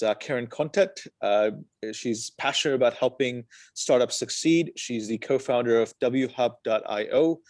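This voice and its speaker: A man moderating an interview, reading a guest's introduction haltingly and then asking questions, with some stumbles and fillers. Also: mid-range voice, a little mellow, dry recording. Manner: halting, stumbles over words.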